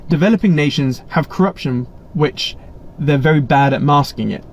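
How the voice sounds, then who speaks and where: An English man talks through a live stream in a slightly higher-than-usual voice, rambling, blunt and informal. The audio is a little muffled, with a faint hiss underneath. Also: moderate pace. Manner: chatty and rambling, frequent restarts and blunt asides. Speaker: livestream host. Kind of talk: casual live Q&A, reading viewer chat. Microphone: webcam setup.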